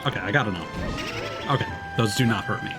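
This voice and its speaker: Low-pitched man musing over game music. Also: fast talker. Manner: musing, thinks aloud. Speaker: streamer playing and commenting on a game. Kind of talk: casual gameplay commentary, rambling. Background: video game music.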